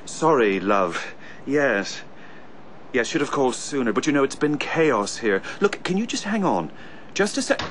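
A man's voice: mid-range voice, sing-song, a little slow, noisy background. An actor playing an evasive, guilt-ridden man in a radio drama, with an English accent and a hesitant, sheepish manner. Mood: apologetic.

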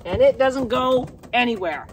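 A woman's voice: a little high, animated, measured pace, slight background noise. A woman who is a wilderness guide and show host, casually showing gear outdoors in the snow and telling a story in a plainspoken, folksy way. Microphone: camera mic, handheld at times.

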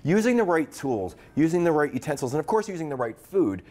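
A man's voice: a little high, very animated, brisk, a little mellow. American man demonstrating cookware live. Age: middle-aged. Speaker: product demonstrator. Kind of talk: live cooking product demonstration. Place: TV studio kitchen.

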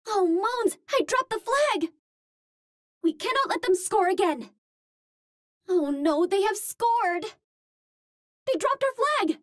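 A woman's voice: very high voice, fairly bright tone, dry recording, clean studio sound, full character voice. An actress playing a cute, naive young Martian space healer, speaking in a sweet, girlish, precise and formal way. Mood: dismayed.

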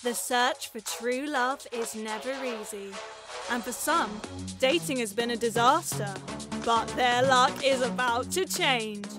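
A young woman reading scripted advert narration in a smooth, measured, polished way. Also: on the high side. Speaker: voice-over artist. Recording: studio voice-over with music behind. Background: music.

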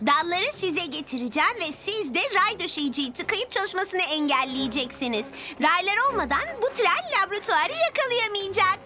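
Excitable kid superhero-show character, very high, emphatic, prominent music underneath. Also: female voice, moderate pace. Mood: determined. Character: excitable child in superhero cartoon, six years old. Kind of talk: animated children's series dub. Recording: voice over loud background music.

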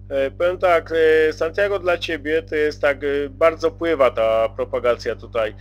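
A man chatting courteously and casually as a radio operator, using radio jargon. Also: mid-range voice. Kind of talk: casual radio contact chat. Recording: dull lo-fi CB radio audio.

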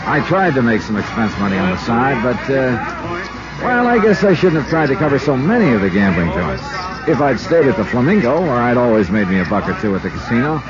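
A man's voice: mid-range voice, very animated, brisk, lightly acted. An American actor playing a wry, self-assured insurance investigator in a 1950s radio detective drama, narrating and talking through his cases. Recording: dull 1950s radio recording, loud music.

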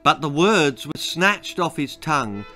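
A man's voice: fairly high pitch, sing-song, a little slow, sharp tone, faint music behind. A British man in his sixties reading a children's story aloud in a dramatic storytelling style. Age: 60s. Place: small book-lined room indoors.

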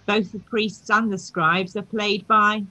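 A middle-aged woman minister speaks in a formal, welcoming register from a home study. Her voice is bright and of medium pitch. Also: fairly even intonation, slow pace, highs cut off. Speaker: church minister introducing a cast.

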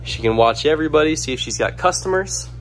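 A man's voice: a little high, animated, fast. Upbeat young man vlogging outdoors. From Louisiana. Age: young adult. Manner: upbeat, addresses viewers directly. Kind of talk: casual walkthrough vlog. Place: outdoors by a waterside dock, then inside a small shop. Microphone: handheld camera held close.

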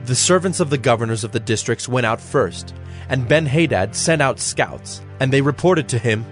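A man narrating an audio Bible as a scripted, measured storyteller. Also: mid-range voice, lively intonation. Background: music.

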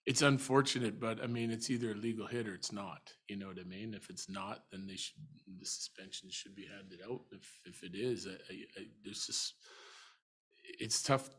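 A middle-aged man answering as a head coach at a postgame press conference, measured and thinking aloud with frequent restarts. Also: lowish voice, a bit bright, husky. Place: arena press room. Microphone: table mic.

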